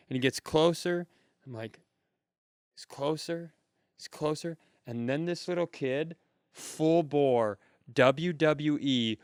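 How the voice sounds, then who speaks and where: A man co-hosting a comedy podcast, telling stories in loose, profane, animated chat and laughing often. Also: on the high side, smooth, dry recording. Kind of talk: casual storytelling chat. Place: comedy club, live.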